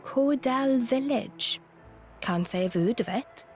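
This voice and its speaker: A woman voicing a blunt, sharp-tongued young woman fighter who speaks in a brusque way. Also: a little high, lively intonation, takes it slowly, faint music behind. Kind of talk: video game voice acting.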